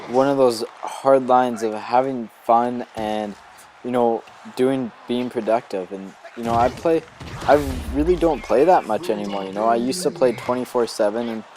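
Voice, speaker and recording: Slightly hoarse man rambling over gameplay. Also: mid-range voice, measured pace, a crowd in the background, rough recording. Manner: rambling, full of ums and "you know". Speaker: gaming video host. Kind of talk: casual gameplay commentary. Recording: steady background noise under the voice.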